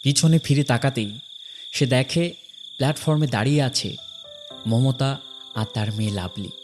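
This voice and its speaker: A man narrating a horror story from a script, dramatically, in short phrases with pauses. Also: medium pitch, a little mellow, slight background noise.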